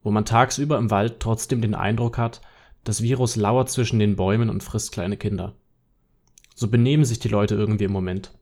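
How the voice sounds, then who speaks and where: A man's voice: fairly low pitch, a little mellow. A man reading as a worried, defiant social media user in a radio collage, arguing in a conversational way. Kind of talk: scripted radio collage reading of online posts.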